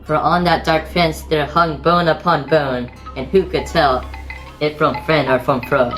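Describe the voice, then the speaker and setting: A woman's voice: sits low, fairly even intonation, a little slow, soft background music, rough recording. A woman narrator reading rhyming, scripted storybook narration in a theatrical storytelling manner.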